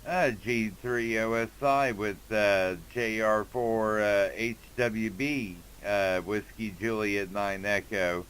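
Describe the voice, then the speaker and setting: A man's voice: lowish voice, steady tone, takes it slowly, hardly any pauses, background noise. An American man in his forties from Indiana, talking in a casual, folksy way as an amateur radio operator. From Indiana, United States. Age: 40s. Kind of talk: casual ham radio contact.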